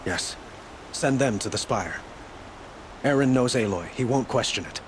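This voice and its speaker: A man's voice: medium pitch, expressive, a little slow, a little hiss or hum, lightly acted. A man playing an earnest, courteous king in a video game, speaking formally and carefully with some hesitance. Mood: concerned, formal. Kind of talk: video game cutscene acting.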